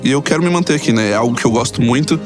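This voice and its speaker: A man's voice: medium pitch, very fast, music in the background. A man with a Brazilian accent, a professional player speaking informally and hesitantly in a reflective interview.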